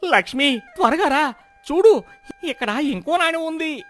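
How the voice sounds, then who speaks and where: A man playing an excitable, proud village farmer in expressive moral-story dialogue. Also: very high-pitched, unhurried, faint music behind, full character voice. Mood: amazed, excited. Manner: expressive, exclaiming.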